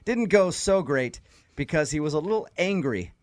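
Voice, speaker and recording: A man's voice: on the high side, animated, moderate pace, dry recording. A man hosting a radio show with casual, joking, teasing banter.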